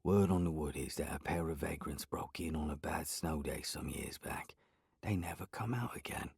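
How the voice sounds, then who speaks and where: A man doing a hushed, clipped boardwalk local. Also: moderate pace, no room sound, clean studio sound. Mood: ominous. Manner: low, hushed, clipped phrases. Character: nearsighted man living on the boardwalk, laconic.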